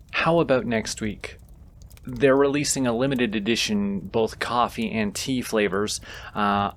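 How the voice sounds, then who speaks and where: A man playing a gentle, easily flustered wizard in a comforting fantasy audio roleplay, tender, soft-spoken and intimate. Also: mid-range voice, lightly acted. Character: gentle, flustered male wizard comforting a companion he secretly adores.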